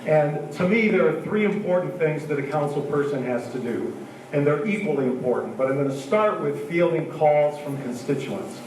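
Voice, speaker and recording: A man's voice: on the high side, lively intonation, moderate pace, darkish tone, slight background noise. Older man, earnest council speech. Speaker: city council member. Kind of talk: candidate speech. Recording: echoey hall from far off.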